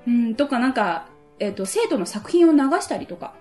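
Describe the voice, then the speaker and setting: A woman's voice: fairly high pitch, sing-song, a little fast, dark tone. A woman chatting casually as the co-host of a radio show. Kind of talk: casual chat. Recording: light music behind the voice. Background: background music.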